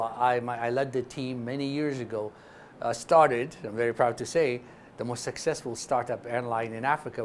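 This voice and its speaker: Man from Ethiopia, emphatic, over background noise. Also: medium pitch. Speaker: interview guest, investment fund chairman. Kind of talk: broadcast interview, explaining business.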